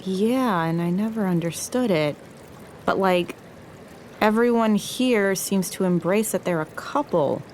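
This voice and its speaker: A woman's voice: medium pitch, a little slow, darkish tone, a little hiss or hum, natural voice. An actress playing a sensible, self-doubting young woman in a romcom audio drama, earnest and questioning. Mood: anxious, self-doubting. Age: young adult. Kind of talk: romcom podcast drama acting.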